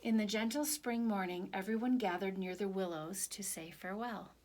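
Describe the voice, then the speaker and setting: A woman storyteller reading a picture book aloud for children in an expressive, animated way. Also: medium pitch, normal speed.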